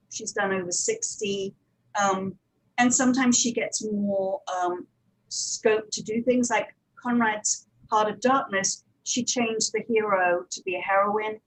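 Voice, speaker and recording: A woman's voice: medium pitch, fairly bright tone, low-quality recording. A woman speaking conversationally on a conference panel, thinking aloud with some hesitation as she explains her work. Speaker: panel speaker, audio drama producer.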